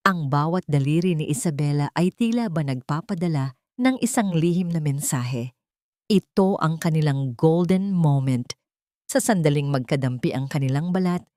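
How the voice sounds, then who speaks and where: A woman with a Filipino accent narrating a dramatic story in a measured, theatrical way. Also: low voice, mellow, no room sound, clean studio sound.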